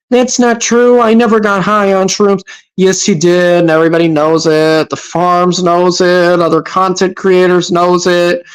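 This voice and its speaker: Brief: woman host, sarcastic and scolding, mid-range voice, halting delivery. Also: mid-range voice, clear, resonant tone, poor audio. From Massachusetts. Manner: sarcastic, scolding, hesitant with fillers. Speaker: host reacting to clips. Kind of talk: livestream commentary.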